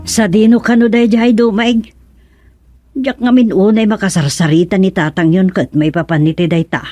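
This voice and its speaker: A woman's voice: medium pitch, fairly even intonation, normal speed, warm tone, faint music behind, lightly acted. An actress playing a worrying, nagging older village wife and mother with an Ilocano accent in a radio drama comedy. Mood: puzzled, suspicious.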